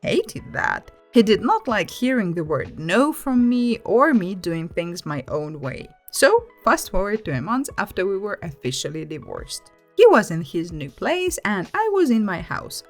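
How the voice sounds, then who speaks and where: A woman narrates online stories in an expressive, conversational way. Her mid-pitched voice moves up and down a lot, leaning hard on key words. Light music plays behind her. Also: unhurried, few pauses. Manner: animated, emphatic, conversational storytelling. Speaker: host reading online stories aloud. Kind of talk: expressive scripted story narration.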